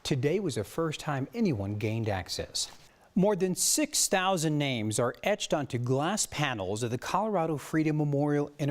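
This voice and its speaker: A man's voice: mid-range voice, darkish tone. A man with an American accent reading scripted news stories as a television anchor, with some banter.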